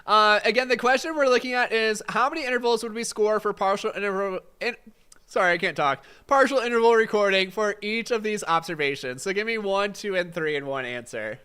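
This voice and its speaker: A man's voice: high voice, fairly quick, bright, forceful tone, smooth. A man with a congested, fading voice teaching a casual live-stream exam study session, answering chat questions with lots of fillers. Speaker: exam prep instructor.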